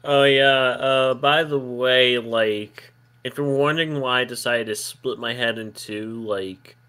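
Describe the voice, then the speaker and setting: A man's voice: medium pitch, fairly even intonation, a little slow, bright, forceful tone, smooth. A man streamer chatting casually, rambling with lots of fillers and frequent giggles. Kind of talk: casual chat.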